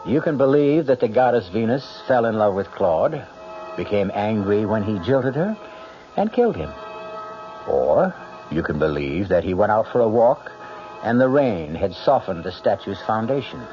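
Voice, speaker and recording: A man hosting a radio drama with scripted narration, wry, theatrical and measured. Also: lowish voice, sing-song, faint music behind. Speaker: host and narrator. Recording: muffled old radio recording.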